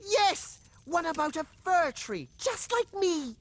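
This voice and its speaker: A vain, dreamy young fir tree in a cartoon fairy tale, high and swooping in pitch. It gushes theatrically and naively about itself, at an unhurried pace, over background music. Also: female voice, very animated, no echo, full character voice. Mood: excited vanity. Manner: gushing, self-absorbed, theatrical. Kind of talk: animated fairy tale acting. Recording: music behind the voice.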